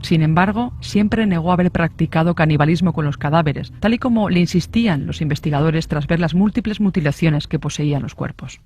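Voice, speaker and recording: High-pitched male true-crime narrator. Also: a little mellow, a little hiss or hum.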